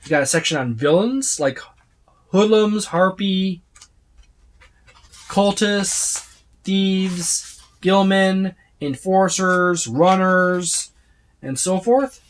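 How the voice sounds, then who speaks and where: Halting, casual tabletop game reviewer. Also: medium pitch. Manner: halting, with repeated words and trailing lists. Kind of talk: casual unscripted book flip-through overview.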